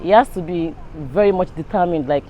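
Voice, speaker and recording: Expressive Nigerian woman chatting casually. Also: lowish voice, fairly quick, narrow, tinny audio, slight background noise. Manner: expressive, acts out quotes. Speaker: street interviewee. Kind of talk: casual chat.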